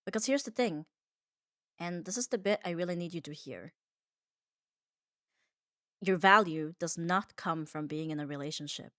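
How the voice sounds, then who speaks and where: A woman's voice: fairly low pitch, moderate pace, pauses often, pushed and bright, no room sound, clean studio sound. A woman delivering a scripted comfort ASMR monologue in an earnest, reflective manner, leaning on short emphatic phrases. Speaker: ASMR audio performer.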